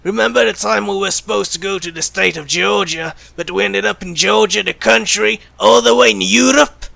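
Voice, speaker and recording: A man's voice: high-pitched, normal speed, creaky, pushed and bright, dry recording, full character voice. A man playing a flippant, callous secret agent with a sardonic, teasing manner in an audio roleplay. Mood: brash, sarcastic. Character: callous, sarcastic male secret agent who jokes about killing. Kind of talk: ASMR roleplay acting.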